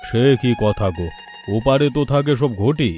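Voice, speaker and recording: A man's voice: mid-range voice, normal speed, darkish tone, lightly acted. A man acts out an older village father in a cartoon. He speaks in a wary, questioning manner. Music runs behind the voice. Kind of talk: Bengali cartoon dialogue.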